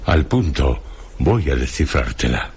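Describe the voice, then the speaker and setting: An ancient hermit sage in a radio play. His voice is extremely low and husky, with a Castilian Spanish accent. He declaims slowly and solemnly, like one who holds grave secrets. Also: very animated, a little mellow, heavily acted. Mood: grave, stern. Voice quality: deep, husky. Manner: slow, grandiloquent, declamatory. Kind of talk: Spanish radio theatre acting.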